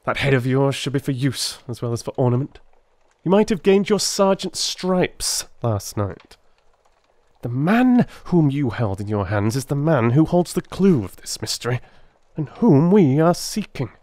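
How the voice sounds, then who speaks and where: A male narrator acts out a brilliant detective from a dramatic audiobook. He is brisk, commanding and precise, leaping high and low with excitable impatience. The sound is dry and close. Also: no echo. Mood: scornful.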